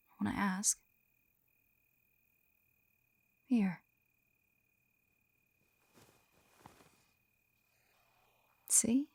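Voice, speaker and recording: A young woman playing a gentle, shy lamia on a first date in an intimate ASMR roleplay, soft-spoken and often whispering, hesitant and tender, close to the microphone. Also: no echo. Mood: flustered, tender. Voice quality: soft, breathy whispering mixed with low murmurs. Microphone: close-up microphone, dry sound.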